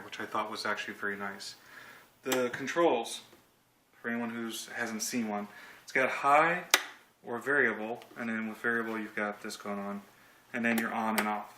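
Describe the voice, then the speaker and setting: A man's voice: mid-range voice, pushed and bright. A man in his thirties giving a casual, rambling, joking commentary as he reviews a product. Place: home kitchen, indoors. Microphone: handheld camera at a short distance. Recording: echoey kitchen with clear noise and clicks.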